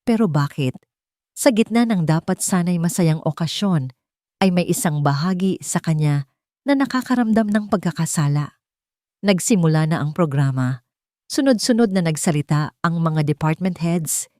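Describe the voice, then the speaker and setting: A woman narrates a dramatic story in a fairly low voice. Her delivery is scripted, measured and deliberate, with each phrase set out carefully. The sound is dry, with very little room. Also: lively intonation, dark tone, no room sound.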